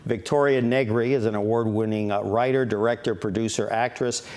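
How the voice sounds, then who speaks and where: A man hosts an interview in a TV studio. His voice sits a little low. He fills his pauses with "uh" as he works through each sentence. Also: steady tone, a little mellow. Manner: halting, many "uh" fillers. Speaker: talk show host.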